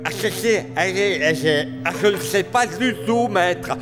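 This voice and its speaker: A scatterbrained, servile cartoon alien henchman. He stammers anxiously in a high-pitched voice, repeating words and hesitating, with music clearly audible behind. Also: unhurried. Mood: flustered. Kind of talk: cartoon voice acting.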